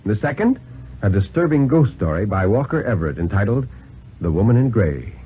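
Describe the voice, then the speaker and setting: A man reads thriller fiction as a theatrical radio storyteller. His low voice moves widely in pitch with measured phrasing. The 1950s recording is dull and narrow, with faint hiss. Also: very animated. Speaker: story narrator. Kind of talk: dramatic reading of thriller stories on 1950s radio.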